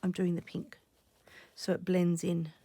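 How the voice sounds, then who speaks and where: A woman recovering from a cough, chatting casually while she stitches, often dropping to soft half-whispers as she thinks aloud. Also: on the low side, monotone, measured pace, no room sound. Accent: Australian. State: croaky from a cough. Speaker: stitching channel host. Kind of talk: casual crafting vlog chat.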